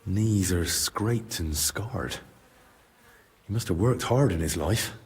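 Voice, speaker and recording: An actor playing a blunt, probing young male investigator in a video game cutscene, speaking in a measured, matter-of-fact way. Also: sits low, animated, breathy edge, heavily acted. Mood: pensive, observant.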